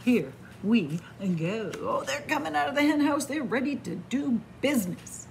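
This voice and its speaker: A middle-aged woman reading a picture book aloud to children in a library, playful and animated. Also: a little high, moderate pace, gravelly, slight background noise. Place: library, in front of bookshelves. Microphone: camera at close range.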